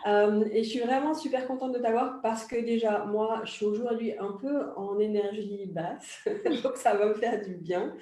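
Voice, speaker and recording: A woman's voice: on the high side, normal speed, dark tone, roomy sound. A woman hosting a live online interview, chatty and laughing easily, with many fillers. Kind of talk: casual live chat.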